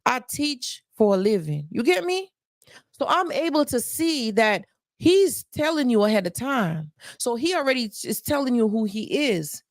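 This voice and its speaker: A woman's voice: a little high, very animated, a little slow, dry recording, studio quality. A woman life coach giving advice on a livestream, emphatic and repeating points for stress.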